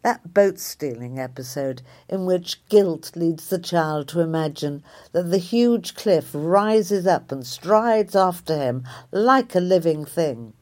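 A woman, a writer reading a reflective personal essay in a measured, thoughtful way. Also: fairly low pitch, darkish tone. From Liverpool area, England.

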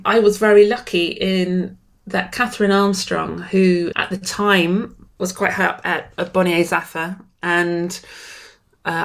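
A woman writer talking about her work in an interview, warm and excitable, laughing often and sometimes repeating her words. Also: lowish voice. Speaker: writer guest. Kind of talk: casual interview conversation.

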